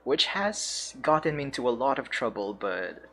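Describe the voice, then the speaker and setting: A man's voice: mid-range voice, very animated, normal speed, pushed and bright. A man with a Filipino accent giving casual game commentary as a streamer, hesitant and searching for words. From Philippines. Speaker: streamer and English teacher.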